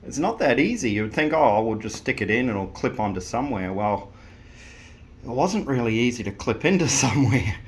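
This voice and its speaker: A man's voice: medium pitch, slight background noise. A man talking casually to camera about fitting an intercom into his vehicle, plain-spoken and rambling with frequent restarts. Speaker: vehicle owner showing an intercom install.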